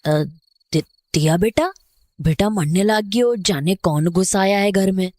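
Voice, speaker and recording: A woman's voice: medium pitch, unhurried, darkish tone, dry recording, lightly acted. A woman playing a wary older village caretaker, folksy and cautioning, in a horror audio drama. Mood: flustered, evasive.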